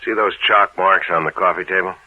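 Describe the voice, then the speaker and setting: Deep folksy American police sergeant. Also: male voice, fairly even intonation, fairly quick, no room sound. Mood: explaining. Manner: folksy, casual, matter-of-fact. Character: gruff, folksy, plain-spoken male police sergeant. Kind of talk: old-time radio detective drama acting. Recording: narrow, tinny old radio recording.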